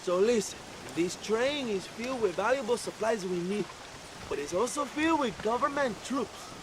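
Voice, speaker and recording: An actor playing a vain, charismatic Mexican revolutionary leader with a pompous, theatrical manner in a video game cutscene. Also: male voice, high-pitched, animated, normal speed, noisy background. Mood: urgent, friendly.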